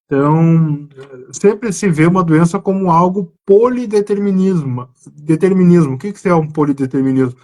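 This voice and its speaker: A man's voice: a little high, darkish tone. A man from southern Brazil with a gaúcho accent, a psychiatrist guest explaining his work earnestly over a video call, with hesitant restarts. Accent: Brazilian gaúcho Portuguese. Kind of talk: conversational explanation on a live stream.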